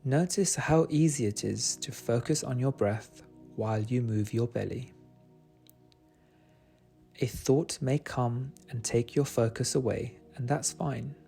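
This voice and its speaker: A man guiding a meditation in a soothing, gentle and unhurried manner. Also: medium pitch, gravelly. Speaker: meditation guide. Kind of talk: guided meditation narration. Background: soft meditation music.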